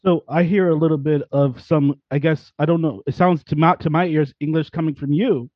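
Guy host, kinda high voice, rambly casual chat, dead dry lo-fi recording. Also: steady tone, fairly quick, no room sound. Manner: chatty, rambling, self-interrupting. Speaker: interviewer.

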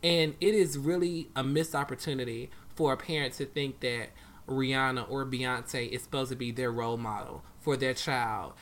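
A man's voice: medium pitch, fairly even intonation, normal speed, a bit bright. A man co-hosting a podcast, a dance coach, chatting casually and hammering his point home with repetition. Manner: emphatic, preachy, repeats for stress. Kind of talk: casual chat.

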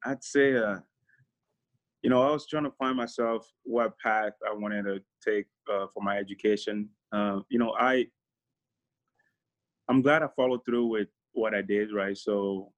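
A man in his thirties, a guest in a casual interview, speaking in a relaxed, reflective way with many fillers. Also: lowish voice, a little slow.

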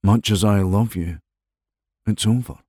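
A man's voice: lowish voice, a little slow, warm tone, no room sound, clean studio sound. A man playing a sneering middle-aged cad. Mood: sorry. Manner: sneering, condescending. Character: middle-aged English man, scornful and cruel.

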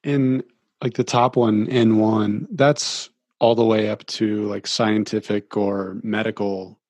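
A man's voice: on the low side, flat delivery, slow, darkish tone, no echo. A man hosting a podcast, asking casual, brief questions with frequent "like". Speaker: podcast host.

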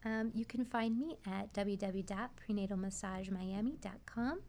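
A woman's voice: medium pitch, normal speed, darkish tone. A woman speaking as an expert guest on a talk show, giving informal, encouraging and emphatic advice. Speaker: expert guest, prenatal massage therapist.

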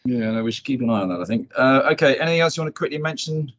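Male host, mid-pitched, conversational, steers with tag questions, dull lo-fi audio. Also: animated, racing, fairly bright tone. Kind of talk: casual chat.

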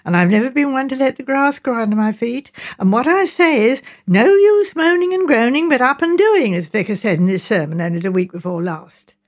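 A woman voicing a big, forthright working-class village mother with a rapid, rambling flow, in a storybook reading. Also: medium pitch, lively intonation, fairly bright tone, lightly acted. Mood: earnest, insistent.

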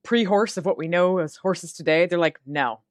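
A woman co-hosting a science podcast, chatting playfully and cutting in with quick remarks. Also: lowish voice. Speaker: podcast co-host. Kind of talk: podcast chat.

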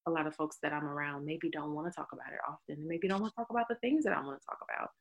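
A woman in casual conversation, animated and playful, talking in quick bursts. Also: sits low.

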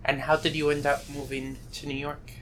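A man's voice: fairly high pitch, little pitch movement, moderate pace, fairly bright tone, slight background noise, poor audio. A man interviewing, asking short plain questions from away from the microphone.

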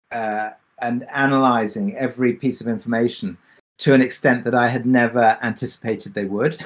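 A man speaking as a creator in a casual panel discussion, explaining things in a hesitant, rambling way. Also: medium pitch. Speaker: audio drama creator on a Q&A panel.

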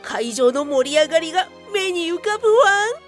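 Very high-pitched cute cartoon dog. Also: female voice, expressive, normal speed, soft background music. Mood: cheerful. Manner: ends sentences with a doggy "wan". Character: cheerful mascot dog companion with verbal dog tic. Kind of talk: Japanese radio drama voice acting.

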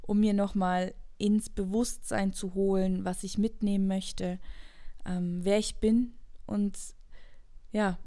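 A woman in her late twenties talks through her thoughts as if in a personal audio diary. Her pitch is average and her pace is unhurried. She sounds earnest and introspective, repeating words to stress them as she goes. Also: flat delivery, dark tone. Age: late 20s, turning 30. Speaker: podcast host. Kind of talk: reflective personal monologue, unscripted.

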